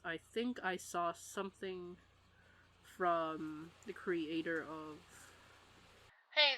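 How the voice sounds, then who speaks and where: A woman's voice: on the low side, pushed and bright, no echo, rough recording. A woman chatting informally as a podcast co-host, exclamatory and given to swearing. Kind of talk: casual fan podcast chat.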